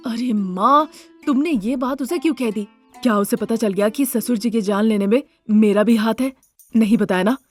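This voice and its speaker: A ruthless young married son from a cartoon, acted by a woman narrator. The voice is fairly high and scheming, with faint music behind. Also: normal speed, natural voice. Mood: alarmed, plotting.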